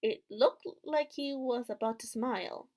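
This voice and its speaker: A woman's voice: fairly high pitch, a little slow, darkish tone. A woman hosting a gaming video, reading a visual novel's narration aloud expressively.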